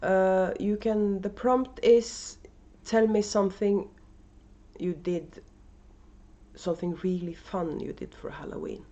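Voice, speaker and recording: A woman's voice: mid-range voice, fairly even intonation, slow, warm tone, highs cut off. A woman with a Norwegian accent chats casually and unscripted as host of a knitting video podcast at home, with many fillers and restarts. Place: at home, indoors. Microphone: camera microphone.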